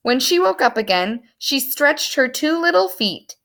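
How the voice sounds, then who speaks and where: A woman reading a story aloud to young children in an animated, expressive storytelling manner. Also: sits high, measured pace. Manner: animated, explains words to young listeners.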